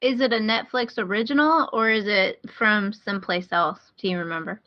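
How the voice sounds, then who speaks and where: A woman chats casually as a podcast co-host. Her pitch is average for a woman and her humour is dry and self-deprecating. The sound is slightly muffled. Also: steady tone, normal speed. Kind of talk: casual chat. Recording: slightly dull podcast audio.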